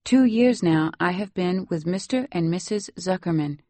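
A woman's voice: on the low side, animated, moderate pace, dry recording, lightly acted. A woman narrator voicing a patient, apologetic nanny in her forties with a Salvadoran accent, speaking hesitantly in an audiobook. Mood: matter-of-fact. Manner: soft-spoken little breaths, hesitant.

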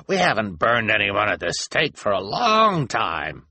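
A man voicing a bloodthirsty townsman who calls out triumphantly and loudly. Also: fairly low pitch, wildly swooping pitch, measured pace, gravelly, a little hiss or hum, heavily acted. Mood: gloating. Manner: gloating and loud.

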